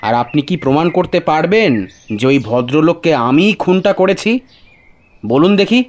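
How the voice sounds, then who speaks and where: A man's voice: fairly high pitch, lively intonation, a little slow, slight background noise. An actor playing a defiant, irritable village man under suspicion of murder in an audio drama. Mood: challenging. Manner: challenging, dismissive.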